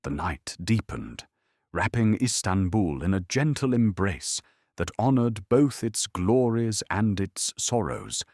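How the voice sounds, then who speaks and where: A man reading a calm, scripted historical bedtime story in a soothing, solemn, measured way. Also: lowish voice, no room sound, clean studio sound. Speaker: narrator.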